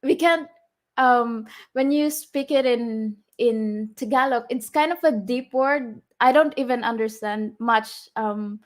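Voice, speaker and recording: High-voiced Filipino woman, casual and hesitant. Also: slow pace. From Philippines. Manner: hesitant, searching for words. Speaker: vlog co-host. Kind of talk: casual unscripted chat.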